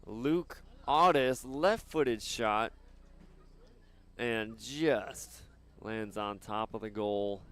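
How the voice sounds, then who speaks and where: A man doing live soccer commentary outdoors, chatting casually and haltingly between plays. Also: on the low side. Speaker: sports play-by-play announcer.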